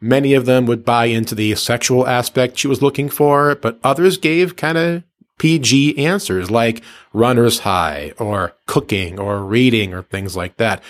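A man's voice: mid-range voice, a little slow. A middle-aged man, a radio personality, telling stories in a conversational, easygoing way with slangy asides to listeners. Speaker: radio personality recounting his career. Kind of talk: conversational storytelling.

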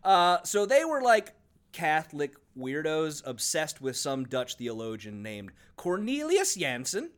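A man's voice: on the high side, wildly swooping pitch, unhurried. A man co-hosting a casual podcast about a book, sarcastic and swearing freely, laughing often. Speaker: podcast co-host.

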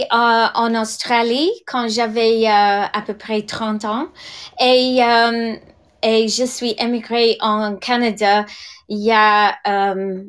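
Slow, hesitant woman in her sixties. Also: on the high side. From England. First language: English. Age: 60s. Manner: hesitant, many fillers and restarts. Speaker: direct sales leader. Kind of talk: podcast testimony.

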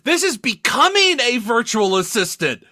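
A man's voice: very high-pitched, very animated, normal speed, pushed and bright, no room sound, clean studio sound. A man co-hosting a comedy podcast, reading spam emails theatrically and breaking into sweary, casual asides. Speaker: podcast co-host.

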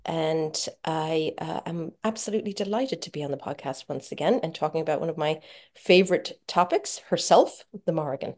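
A woman from Ireland with an Irish accent, a podcast guest who talks with many pauses and restarts. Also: low voice, a little mellow. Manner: hesitant, many fillers and restarts. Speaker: guest, shop owner. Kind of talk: casual podcast chat.

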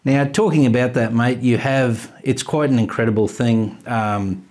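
A man hosting a casual interview podcast, friendly and quick to laugh along with his guest. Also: mid-range voice, fairly even intonation, darkish tone. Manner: friendly, laughs along, says mate often. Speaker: podcast host.

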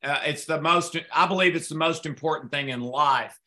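Bright-voiced man hosting a live chat. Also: on the high side, moderate pace. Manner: repeats words when starting sentences, earnest. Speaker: author and host.

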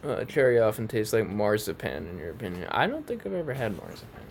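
A man with a somewhat low voice talks on a casual podcast in a laid-back, hesitant way, full of fillers. A faint hiss sits behind him. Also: big pitch swings, quick pace. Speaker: podcast co-host. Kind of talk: casual chat.

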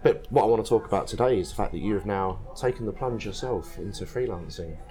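A man hosting a casual podcast interview, his voice croaky and gruff from a throat infection, chatty and relaxed with plenty of fillers. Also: fairly low pitch, fast. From England. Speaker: podcast host. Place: co-working space bar.

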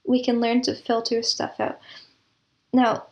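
A woman speaks as an interview guest in a fairly high voice with noticeable vocal fry. Her intonation stays very even. She explains ideas reflectively, reaching for examples and comparisons. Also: measured pace. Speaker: interview guest, composer and audio drama producer.

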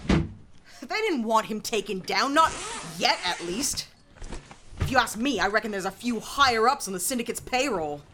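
A woman's voice: fairly high pitch, background noise. A woman playing a brash, wry, persuasive police sergeant in an audio drama. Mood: bitterly wry.

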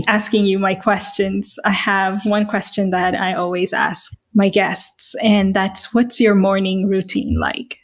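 Woman host, affirming and effusive, talks straight to listeners, mid pitch. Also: animated, a little slow, few pauses, poor audio. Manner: gushing, affirming, addresses listeners directly. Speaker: podcast host. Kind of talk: podcast interview hosting.

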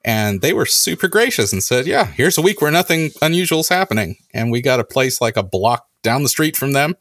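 Man telling lively anecdotes, dry mic. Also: mid-range voice, brisk, dry recording. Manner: animated anecdotes, acting out quoted lines. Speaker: podcast host. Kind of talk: casual conversation, storytelling.

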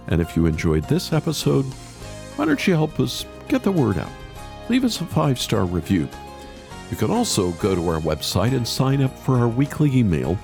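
A male host with a lowish, gravelly voice presents a podcast. His intonation is very lively and he leans on words with deliberate emphasis. Faint music is heard with his voice. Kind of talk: interview and scripted sign-off.

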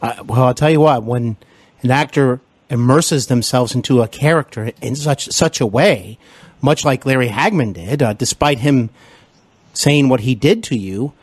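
A man hosting an interview podcast, enthusiastic and complimentary, often pausing with fillers. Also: medium pitch, breathy edge, no echo. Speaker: podcast host.

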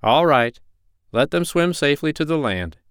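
A man's voice: fairly high pitch, animated, moderate pace, fairly bright tone, smooth, no room sound, clean studio sound, pitched above the performer's own voice. A man voicing a kindly but stern animal doctor in a children's story, lecturing in a firm, formal way. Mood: firm, commanding.

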